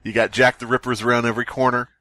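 A man's voice: medium pitch, little pitch movement, very fast, bright, forceful tone. A man hosting a podcast, reading a story aloud theatrically and breaking off into jokey, sweary banter. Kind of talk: dramatic story reading mixed with joking banter.

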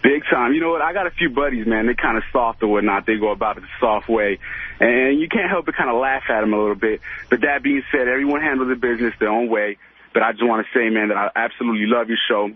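A man's voice: medium pitch, fast talker, husky. A man in his twenties calling a radio show, chatting casually in an earnest, effusive way. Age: 20s. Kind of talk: casual chat. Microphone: telephone. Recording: tinny phone line.

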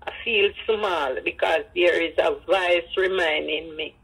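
A woman's voice: lowish voice, fairly even intonation, measured pace, gravelly, fairly bright tone, husky, poor audio. An older woman with a Jamaican accent telling personal stories in patois, acting out the dialogue. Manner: speaks in patois, acts out dialogue. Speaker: grandmother telling her life story. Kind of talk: personal storytelling.

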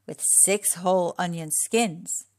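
A woman's voice: on the low side, slow, a little mellow, no room sound. A woman voicing a wise, kindly female talking trash heap in a children's storybook, reassuring and sage. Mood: proud, inviting. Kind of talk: children's storybook character reading.

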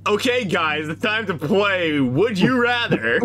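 A man's voice: sits high, big pitch swings, unhurried, sharp tone. A man hosting a gaming video, rambling playfully and casually with friends in reaction to a game. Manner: playful, rambling, sing-song asides. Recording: faint background noise.

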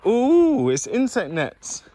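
A man giving a relaxed, chatty tour of his farm, filmed on a handheld phone outdoors and in sheds. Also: very high voice, slow, dry recording. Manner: relaxed, gentle, chatty with a child. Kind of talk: casual walking farm tour vlog. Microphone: handheld phone camera.

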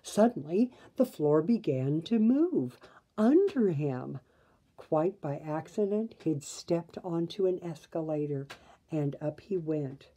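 A woman in her sixties reading a children's storybook aloud in an expressive, deliberate way. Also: deep voice, breathy edge. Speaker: storybook reader. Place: small bedroom at home.

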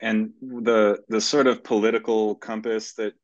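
A man's voice: fairly low pitch, flat delivery, measured pace. A man in his thirties giving thoughtful, conversational explanations as a screenwriter in a video-call interview. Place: indoor room at home, video call. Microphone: video call microphone. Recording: dull lo-fi video-call audio.

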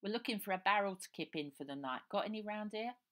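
A woman's voice: mid-range voice, expressive, fast talker, fairly bright tone, pitched above the performer's own voice, natural voice. A plucky streetwise girl from a storybook, voiced by a woman reading aloud speaks bluntly and cheekily. Her talk is plain and direct. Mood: cheeky.

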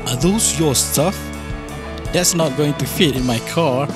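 A man voicing a laid-back young friend who speaks casually and briefly, in an animated comic series, with music behind him. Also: on the high side, a little slow, lightly acted. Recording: with background music.

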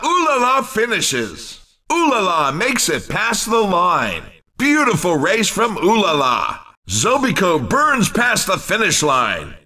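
Upbeat video game race commentator: wildly animated, forceful, exclamatory, slightly off-mic. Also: male voice, high voice, far from the mic, heavily acted. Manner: exclamatory, punchy announcer delivery. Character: excitable, upbeat male racing game commentator.

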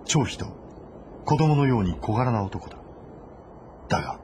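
A man's voice: mid-range voice, big pitch swings, normal speed, background noise. A man reading scripted, dramatic novel narration as the narrator.

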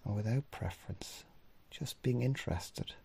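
A man leading a guided body scan meditation in gently instructing, unhurried phrases with long pauses, his husky, breathy voice often trailing off into a near-whisper. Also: medium pitch, a little hiss or hum. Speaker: mindfulness meditation teacher.

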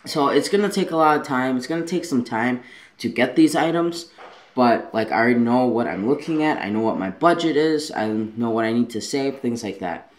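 A man's voice: mid-range voice, animated, normal speed. A young man vlogging casually from his bedroom, talking in a rambling, easygoing way with plenty of fillers, recorded on a handheld camera at arm's length. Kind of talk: casual vlog talk to camera. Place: bedroom at home, indoors.

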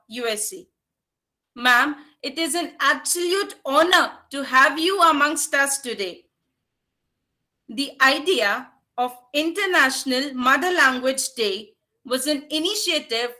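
A woman's voice: high-pitched, sharp tone. Young woman reading an introduction. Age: young adult. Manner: formal, reads steadily. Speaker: event host. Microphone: video call.

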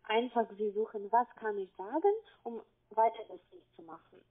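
A woman with a foreign accent speaking on a phone call as a call-centre agent, insistent, with halting non-native phrasing. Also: fairly high pitch, fairly even intonation. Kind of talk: phone conversation. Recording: tinny narrow phone line.

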